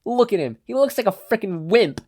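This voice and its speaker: A man's voice: sits high, animated, fairly quick, a little mellow, voice pushed up. A man voicing a cocky, sleazy male gangster with a sneering, taunting delivery. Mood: mocking.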